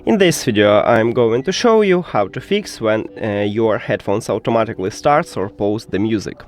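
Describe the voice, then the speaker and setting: Man with lively, swooping pitch. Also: moderate pace. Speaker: tech tutorial host. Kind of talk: step-by-step instructional narration.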